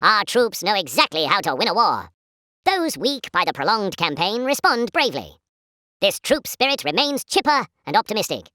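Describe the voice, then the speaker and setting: Casting: a jolly British 1940s newsreel announcer for a war game, pompous and patriotic, bright forceful tone, swooping theatrical delivery. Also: female voice, mid-range voice, sing-song, moderate pace, dry recording, clean studio sound. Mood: stirring, patriotic. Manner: pompous, formal, chipper propaganda delivery.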